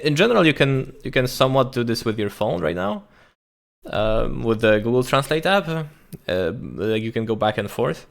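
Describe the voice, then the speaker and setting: A man streaming a reaction to a tech talk, thinking aloud in a casual, halting way with frequent restarts. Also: medium pitch, creaky. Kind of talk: casual live reaction commentary.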